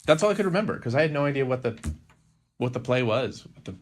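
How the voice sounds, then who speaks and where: A man hosting a podcast, telling stories in a casual, rambling, halting way with frequent self-corrections. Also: medium pitch, lively intonation, a little hiss or hum. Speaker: podcast host.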